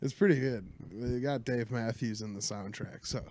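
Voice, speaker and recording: A man casually chatting as a podcast host, asking questions and dropping in relaxed, joking remarks. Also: mid-range voice, moderate pace. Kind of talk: casual chat.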